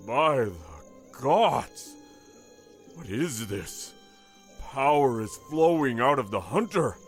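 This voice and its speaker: Man as slow, reverent fantasy council member. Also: mid-range voice, expressive, faint music behind. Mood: awed. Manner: exclamatory, reverent. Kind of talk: fantasy audio drama acting.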